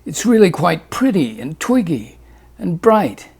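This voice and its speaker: A man's voice: fairly high pitch, sing-song, unhurried, vocal fry, a little mellow, breathy edge, exaggerated voice. A man voicing a shy, wondering little boy who speaks hesitantly.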